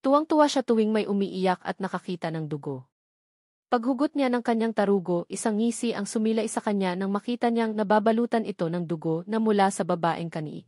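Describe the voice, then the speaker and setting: A woman's voice: medium pitch, brisk, a little mellow, dry recording. Dry-sounding woman narrating an audiobook.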